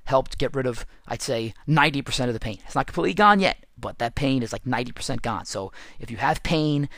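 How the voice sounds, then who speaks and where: A man hosting a casual podcast, hoarse and raspy from losing his voice, chatty and self-deprecating. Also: medium pitch, animated, fast talker, fairly bright tone, no room sound, clean studio sound. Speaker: podcast host and football coach. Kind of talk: casual solo podcast with ad read.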